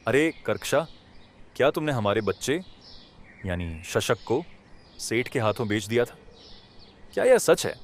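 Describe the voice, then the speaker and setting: A man's voice: medium pitch, very animated, unhurried, pauses often. A man voicing a kind-hearted, emotional poor villager in a Hindi cartoon, speaking in a dramatic, pleading way. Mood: angry, demanding. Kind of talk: Hindi animated moral story dialogue.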